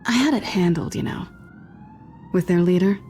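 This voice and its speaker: Actress doing a sly cat burglar lady for a video game, lowish voice, dry teasing wit, guarded, noisy background. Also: expressive, normal speed, a little mellow, lightly acted. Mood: guarded, irritated. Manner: teasing, sardonic, intimate and low-key. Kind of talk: naturalistic video game acting.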